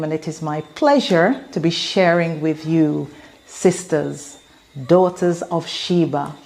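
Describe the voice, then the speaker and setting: An English-accented woman in her fifties speaks slowly and earnestly, taking her time over each thought. Her voice is fairly low and her delivery measured and deliberate. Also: a little mellow, slight background noise. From England. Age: 50s. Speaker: motivational speaker. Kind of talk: reflective spoken address.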